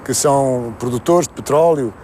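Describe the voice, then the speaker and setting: A man's voice: mid-range voice, moderate pace, a little mellow, a little hiss or hum. A man explaining hesitantly in an interview, often tagging on questions.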